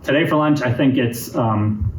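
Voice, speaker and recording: A man organizing a workshop, talking to the group in a relaxed way with lots of ums and uhs. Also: medium pitch, moderate pace, noisy background. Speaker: event organizer. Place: indoor workshop room. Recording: echoey room with crowd chatter.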